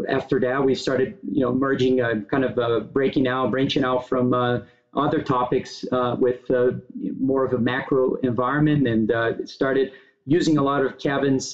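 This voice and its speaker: Flat-voiced Brazilian man, halting. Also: mid-range voice. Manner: halting, many fillers and restarts. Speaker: guest. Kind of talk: interview answers. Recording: dull lo-fi sound.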